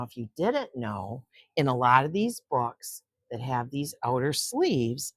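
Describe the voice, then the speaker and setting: An older woman hosting story time for children, reading a picture book aloud and chatting gently to young listeners in between. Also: low voice, dry recording, clean studio sound. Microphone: close microphone.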